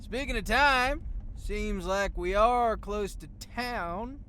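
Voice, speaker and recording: An actor in an audio drama playing a blunt, good-natured truck driver with a folksy, wry way of talking. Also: male voice, sits high, takes it slowly, sharp tone, slight background noise, full character voice. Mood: easygoing.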